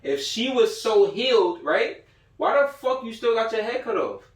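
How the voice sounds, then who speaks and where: An American man with a fairly high voice lectures on a livestream from an echoey room, a bit away from the mic. He talks in heavy slang, swears constantly and drives points home by repeating them emphatically. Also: sing-song, moderate pace, recorded from a distance. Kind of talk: informal, profane livestream lecture.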